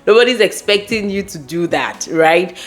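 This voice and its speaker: A woman's voice: on the low side, expressive, moderate pace. A woman from Nigeria with a Nigerian accent gives casual advice to viewers as a host, speaking emphatically and repeating key words. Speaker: host giving career advice.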